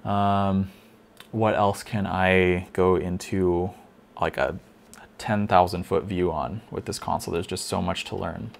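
Low gravelly man talking through a tutorial. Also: fairly even intonation, unhurried, slight background noise. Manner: chatty, thinking aloud with fillers. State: slightly hoarse, losing his voice. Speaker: lighting console instructor. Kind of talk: casual screen-recorded tutorial.

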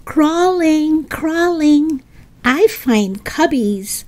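A woman reading a picture book aloud to young children, expressively, with sing-song, deliberate phrasing. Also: high-pitched, expressive, darkish tone, a little hiss or hum. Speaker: children's story reader.

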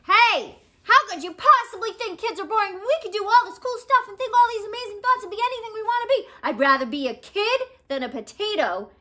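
A woman voicing an excitable, indignant little girl from a picture book, with emphatic exclamations. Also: very high voice, fairly quick, hardly any pauses, pitched above the performer's own voice, heavily acted. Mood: excited, indignant.

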